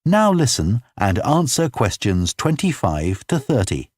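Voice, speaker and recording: A man's voice: mid-range voice, big pitch swings, unhurried, darkish tone, slightly rough, dry recording, clean studio sound. A man reading scripted test instructions as an exam announcer.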